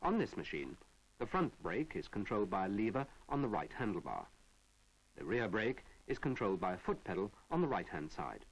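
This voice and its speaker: A British man narrates a training film in a low register with a husky edge. His scripted delivery is measured and didactic, with plenty of rise and fall in the intonation, on a 1970s film soundtrack. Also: wildly swooping pitch, rough recording.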